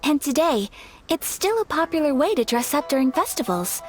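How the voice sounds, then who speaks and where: A woman's voice: high voice, very animated, moderate pace. A woman voicing a polite, modest young noblewoman who speaks formally and courteously, in a game cutscene. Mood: gentle. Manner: formal, courteous, softly flustered. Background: game music.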